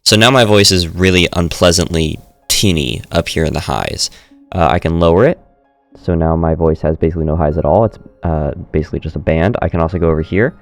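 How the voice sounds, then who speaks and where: A man's voice: deep voice, fairly quick, soft background music. A man casually walking through his microphone settings in a screen-recorded tutorial, often correcting himself as he goes. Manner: relaxed, self-correcting explainer. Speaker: streamer demonstrating audio software. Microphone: studio dynamic mic through audio interface.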